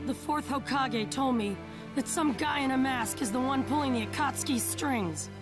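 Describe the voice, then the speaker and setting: A woman voicing an earnest, headstrong teenage ninja boy in a video game dub, with some rasp in the voice. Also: high-pitched, moderate pace, lightly acted. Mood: urgent. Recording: music under the dialogue.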